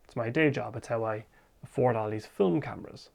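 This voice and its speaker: A man's voice: medium pitch, brisk, vocal fry, no room sound. An Irish man from Ireland narrating his travel vlog in a casual, wry, self-deprecating way, with the occasional swear. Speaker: vlogger and film photographer.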